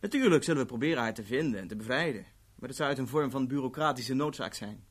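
A man's voice: mid-range voice, expressive, fast talker, slightly rough. A man acting in a 1970s Dutch radio play as an urbane, wry agent who delivers threats politely and matter-of-factly. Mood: suave, menacing. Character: urbane, coolly menacing male agent of a ruthless organisation.

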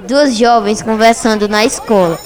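A woman's voice: fairly high pitch, normal speed, over a noisy crowd, heavy background noise. A woman reading scripted radio narration as the announcer of an amateur programme. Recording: loud music under the voice. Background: music.

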